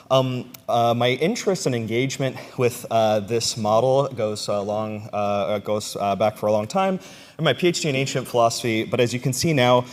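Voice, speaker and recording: Congested man speaking in a reverberant hall. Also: mid-range voice. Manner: halting, many ums and uhs. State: congested from a cold. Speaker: conference presenter, ethics instructor. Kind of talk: academic conference talk. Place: conference room. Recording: long reverb of a large hall.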